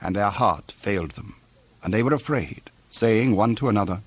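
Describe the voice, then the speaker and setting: A man narrating scripture in archaic English, solemn and measured. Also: fairly low pitch, no room sound.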